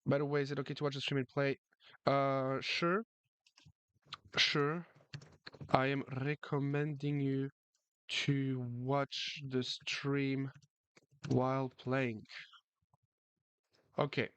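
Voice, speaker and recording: A man's voice: mid-range voice, flat delivery, slow pace, pauses often, fairly bright tone, smooth, dry recording. A man chatting casually on a live chess stream, reading the chat and often repeating his words. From France.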